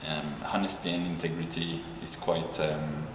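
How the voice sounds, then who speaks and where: A man from Belgium, a company founder and interview guest, speaking haltingly with many repeated words and ums. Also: a bass voice, level tone, noisy background. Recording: echoey room with long reverb and music bed.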